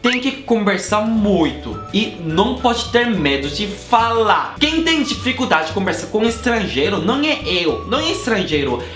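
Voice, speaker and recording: A man's voice: sits high, big pitch swings, normal speed, few pauses, a bit bright. A young Korean man speaking with a Korean accent, a YouTuber giving casual, playful tips and acting out little scenes with slang. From South Korea. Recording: roomy room with background music.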